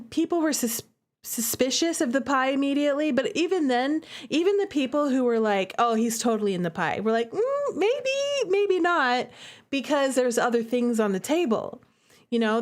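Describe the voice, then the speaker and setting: A woman chatting casually on a livestream as a game developer, theatrical and animated, with conversational asides to viewers. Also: a little high. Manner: animated, theatrical, acts out reactions.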